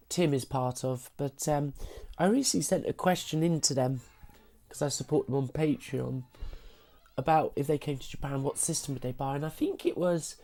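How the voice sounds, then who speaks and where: Husky, low-voiced woman live-streaming games. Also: mellow. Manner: self-deprecating, apologetic, giggly. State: recovering from sickness. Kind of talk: casual live-stream gaming chat.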